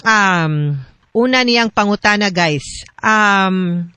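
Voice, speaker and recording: Female radio advice host, Cebuano Filipino accent, mid-pitched, bright tone, informal attorney explaining things conversationally. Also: takes it slowly, clear, resonant tone, dry recording. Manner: informal, code-switching, often adds tag questions. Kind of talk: conversational legal advice to listeners.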